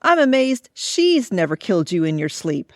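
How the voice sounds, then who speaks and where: A domineering boss played by a woman in an audio comedy. She is impatient and withering, delivering curt scoldings with lively pitch. The recording is dry. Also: moderate pace, no room sound, soft background music. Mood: scathing. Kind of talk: comedy audio drama acting.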